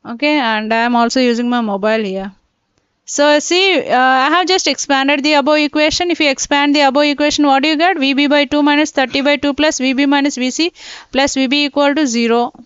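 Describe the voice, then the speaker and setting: A high-pitched woman teaches an online class step by step, repeating points and checking in with students. Her voice is dry and dull, like lo-fi laptop audio. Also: steady tone, a little fast, dry recording. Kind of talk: live online class lecture. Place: indoors, teaching from a computer. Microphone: laptop mic.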